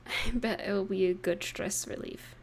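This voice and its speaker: A young woman playing an affectionate, playful girlfriend in an intimate audio roleplay, speaking softly and tenderly with gentle pauses. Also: medium pitch, fairly even intonation. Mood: playful, relaxed. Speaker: voice actor in romantic audio roleplay. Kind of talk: intimate scripted roleplay addressed to the listener.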